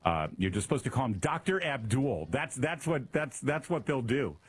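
A man's voice: medium pitch, big pitch swings, moderate pace, slightly rough. A middle-aged man from the American Midwest with a Midwestern accent, hosting a political talk show with a sarcastic, mocking manner and frequent repeated words. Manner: sarcastic, mocking exaggerated pronunciations, repeats words when starting. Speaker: radio and podcast host.

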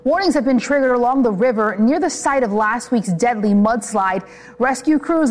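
A woman anchoring a news broadcast, reading the lead and questioning a live guest in a measured, sympathetic way. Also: a little high. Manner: measured, scripted delivery with a sympathetic tone toward the guest.

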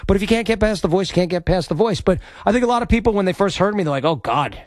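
A male sports talk radio host whose voice sits notably high. He is cocky and opinionated, bragging freely between takes. The sound is close and dry, with no room around it. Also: lively intonation, rapid-fire, a little mellow, no echo. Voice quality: high-pitched voice. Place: radio studio.

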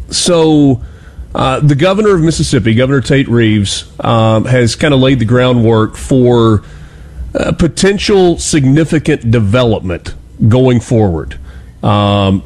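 A man's voice: medium pitch, darkish tone. A man interviewing a guest as host, speaking in a courteous, easygoing and conversational broadcast style.

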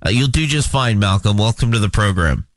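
A man's voice: on the low side, animated, no room sound. Dry-voiced man hosting a talk show. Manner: measured, with frequent fillers. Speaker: host. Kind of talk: talk show commentary and interview.

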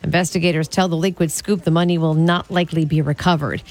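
A woman reads a radio news bulletin in a steady, measured delivery. Her voice sits a little low for a woman. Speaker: news anchor.

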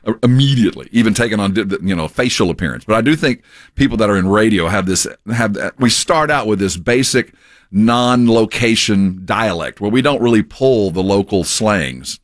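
Male radio co-host from Texas; low, swinging delivery, animated storyteller, dry close mic. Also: normal speed, no room sound. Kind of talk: casual radio chat.

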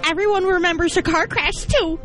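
Sarcastic high-voiced woman. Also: steady tone, fairly quick, a bit bright, soft background music. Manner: sarcastic, dismissive retorts. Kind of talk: reading a scripted comedy debate.

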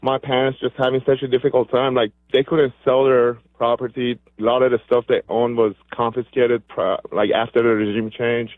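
A man's voice: medium pitch, little pitch movement, normal speed, few pauses, no echo. A man from Iran calling in to a talk radio show, earnest and speaking in long run-on sentences. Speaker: caller. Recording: dry tinny phone line.

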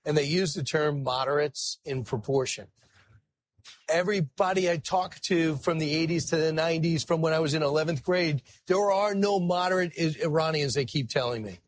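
A man hosting a talk show, asking probing questions in a brisk, conversational way. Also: on the high side, dry recording.

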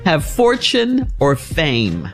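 A woman's voice: low voice, huge pitch swings, drawn-out words, faint music behind. A woman co-hosting a morning radio show, reading out questions and laughing along. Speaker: radio co-host.

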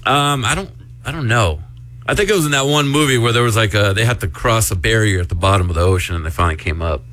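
A man's voice: lowish voice, quick pace, fairly bright tone. Male host telling offbeat stories. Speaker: radio show host. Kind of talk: casual group banter and offbeat news stories.

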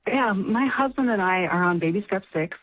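A woman's voice: medium pitch, lively intonation, moderate pace. A woman in her late forties calling an advice show, speaking in an emotional, hesitant way with many fillers. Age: late 40s. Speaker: caller. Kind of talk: phone call to a radio show. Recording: phone line.

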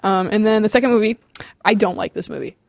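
Casting note: young woman, about nineteen, rapid filler-heavy chatter, dry close sound. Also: mid-range voice, vocal fry, dry recording. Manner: chatty, many "like" fillers, giggly. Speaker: co-host. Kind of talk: casual chat.

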